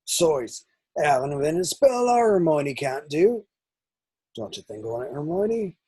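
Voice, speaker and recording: A man's voice: a little high, sing-song, measured pace, mellow, husky, exaggerated voice. A man playing a kindly, protective, plain-spoken gamekeeper in an amateur table read, reassuring and folksy.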